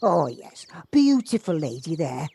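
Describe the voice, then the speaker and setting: Lively, kindly village neighbour played by an actress. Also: female voice, sits low, a little slow, creaky, breathy edge, no echo, full character voice. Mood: chatty, approving. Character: kindly, chatty, slightly reserved older neighbour woman. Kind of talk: amateur audio drama acting. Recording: home-recorded on a personal device.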